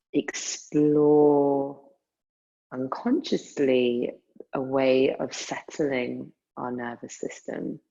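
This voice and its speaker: Woman doing a yoga nidra style guided meditation, really slow n soothing, gravelly creaky voice, bit muffled lofi recording. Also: very deep voice, mellow. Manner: soothing, unhurried, with long pauses.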